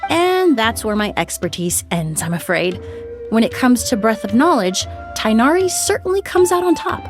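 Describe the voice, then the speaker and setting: A young woman perfumer from a fantasy game. Her voice is on the high side, and she explains things politely in measured, formal sentences. Music runs underneath. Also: big pitch swings. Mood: modest. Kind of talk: video game voice acting.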